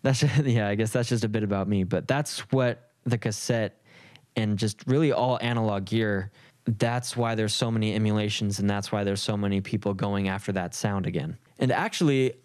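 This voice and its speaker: A man's voice: lowish voice, normal speed, a little mellow, dry recording, studio quality. A man talking casually and reflectively in an informal, rambling, slangy way. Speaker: music producer.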